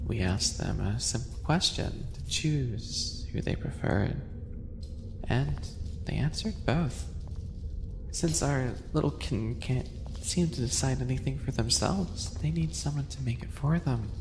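Man playing a slow, gravelly, smug yandere maid boy. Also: medium pitch, lively intonation. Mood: condescending. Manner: condescending, teasing, hushed and drawn out. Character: sly, smug, sadistic male animal-person maid yandere. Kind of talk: roleplay ASMR audio acting. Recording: long reverb, clearly noisy ambience.

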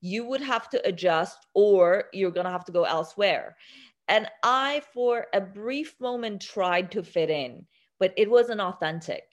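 Young woman, from Iran, interview guest, earnest, halting restarts. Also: medium pitch, expressive. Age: young adult. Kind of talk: interview conversation.

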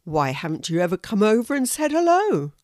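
A woman voicing a kindly, polite male hedgehog in a children's story, speaking in a gentle, plain-spoken, concerned manner. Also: mid-range voice, very animated, brisk, no room sound, clean studio sound, voice pushed up, natural voice. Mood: friendly.

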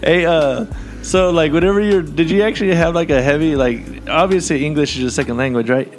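A man's voice: on the high side, brisk, soft background music. A man co-hosting a casual podcast, teasing and hesitant, with many fillers. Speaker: podcast co-host. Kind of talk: casual chat.

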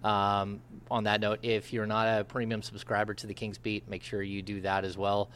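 Man, very low voice, podcast host, rambling chatty delivery, faint background hiss. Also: monotone, normal speed, fairly bright tone. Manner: rambling, filler-heavy, friendly. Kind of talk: casual sports podcast chat.

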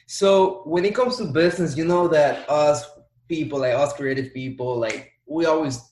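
A man's voice: fairly high pitch, moderate pace, darkish tone. A young man. He talks in a motivational, hands-on way, stacking repeated words for emphasis. The room gives his voice a noticeable echo. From Dominican Republic. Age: 20s. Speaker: entrepreneur guest. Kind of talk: casual podcast interview. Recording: roomy echo.

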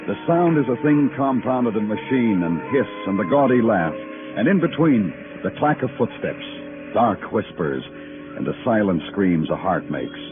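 Brooding, low-voiced radio noir detective. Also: male voice, very animated, normal speed. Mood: brooding, lyrical. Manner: brooding, lyrical, dramatic delivery. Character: brooding world-weary male New York police detective. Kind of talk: 1950s radio noir acting and poetic narration. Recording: muffled old radio broadcast with music.

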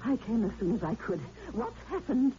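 A woman's voice: a little high, very animated, moderate pace, dark tone. Fluttery landlady, wildly swooping voice. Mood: distraught. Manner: fluttery, flustered. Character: fussy, fretful, excitable landlady. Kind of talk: old-time radio drama acting. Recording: dull old radio recording.

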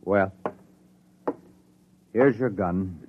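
An actor playing a humane, reluctant spaceship doctor in a 1950s radio drama, speaking soberly and haltingly. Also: male voice, low-pitched, husky, exaggerated voice. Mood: shaken, remorseful. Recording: tinny vintage radio, narrow band.

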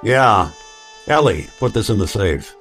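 An actor playing a weary, wry synth detective with a dry deadpan delivery in an old-time radio noir drama. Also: male voice, on the low side, a little slow, faint music behind. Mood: businesslike.

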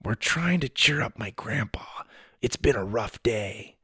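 A man performs an excitable young boy in a children's adventure audiobook. The delivery is eager and exclamatory, with very lively pitch movement. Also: very slow, gravelly, no room sound, clean studio sound, full character voice. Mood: confiding.

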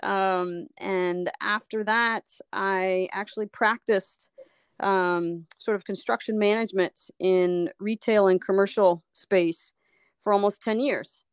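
A middle-aged woman from Liberia, a physician telling her life story in an interview, thoughtful, often pausing and restarting her phrases. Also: medium pitch, steady tone, clean tone, no echo. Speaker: sports medicine physician, guest. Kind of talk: reflective personal story in an interview.

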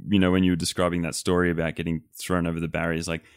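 Deep voiced host guy asking follow ups, dry close sound, chuckles a lot. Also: completely flat delivery, racing, no room sound. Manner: reflective, laughs easily. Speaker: podcast host. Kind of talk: interviewer asking follow-up questions.

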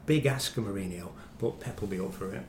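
A man's voice: low-pitched, fast. A middle-aged man with a West Midlands English accent, chatting confidently and wryly about football as a podcast guest. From Walsall, West Midlands of England. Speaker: chief reporter and podcast guest. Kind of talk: casual football banter. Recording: echoey room, faint background noise.

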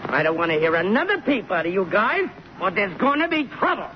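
A man playing a gruff, bullying army sergeant in a 1940s radio comedy, barking threats in exasperation, on a noisy old broadcast recording. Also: sits high, sing-song, fairly quick, highs cut off. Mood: stern.

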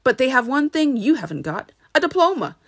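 A woman's voice: sits high, big pitch swings, brisk, pitched above the performer's own voice, full character voice. A woman voicing a grandiose male wizard, a kindly humbug who declaims pompously in a storybook reading. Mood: lofty.

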